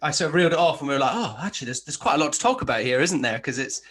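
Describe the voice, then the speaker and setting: Bright, forceful male podcast host. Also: a little high, animated, very fast, slightly rough. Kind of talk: casual interview chat.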